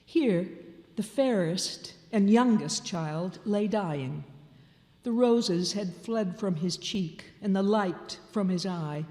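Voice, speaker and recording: Expressive older woman reading aloud in a hall. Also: mid-range voice. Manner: expressive, theatrical narration. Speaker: storyteller. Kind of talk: dramatic live reading of a classic tale. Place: large theater hall on stage. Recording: echoey hall with long reverb.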